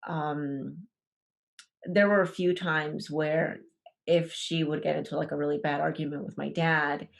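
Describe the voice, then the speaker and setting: Low-voiced woman telling her story haltingly. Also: fairly even intonation, creaky. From Ecuador. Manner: hesitant, many fillers and restarts. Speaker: podcast guest. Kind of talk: personal storytelling.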